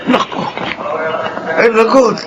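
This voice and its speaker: A man's voice: extremely high pitch, fairly even intonation, slow, background noise. A man playing an affectionate, easygoing middle-aged father with a Filipino accent, in radio drama style. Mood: fond, teasing.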